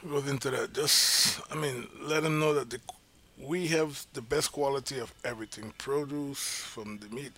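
Gravelly-voiced chef from Panama. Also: male voice, medium pitch, steady tone, normal speed, sharp tone. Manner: emphatic, repeats key points. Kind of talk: casual interview talk.

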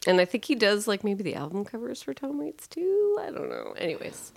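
A woman's voice: medium pitch, wildly swooping pitch, a little fast, creaky, husky, no echo. A woman co-hosting a casual chat, rambling with lots of fillers and frequent laughter.

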